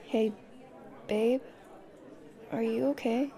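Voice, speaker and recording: A woman's voice: fairly high pitch, steady tone, slow, dark tone, some chatter behind. A woman playing a tough, fiercely protective young girlfriend in an audio roleplay, speaking directly to the listener. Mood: tender, protective.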